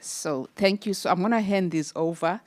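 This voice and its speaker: A woman's voice: lowish voice, moderate pace, darkish tone. A woman with a South African accent giving an emphatic, encouraging, unscripted thank-you speech at a ceremony. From Eastern Cape, South Africa. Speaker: presenter giving thanks and honours. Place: live event venue. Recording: clearly noisy live event recording.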